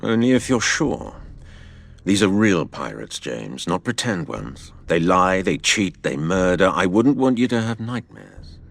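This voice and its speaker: Very deep, creaky-voiced storytelling father. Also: full character voice. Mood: grave, confiding. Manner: measured, expressive storyteller. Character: fond, wry father, storytelling former innkeeper's son. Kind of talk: dramatised storytelling, audio drama.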